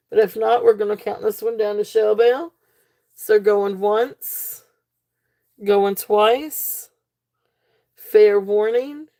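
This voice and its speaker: Woman, live sale host, mellow gravelly voice going hoarse, chatty with plenty of fillers. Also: medium pitch. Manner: chatty, fillers, reads comments aloud. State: losing her voice. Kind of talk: live auction selling chat.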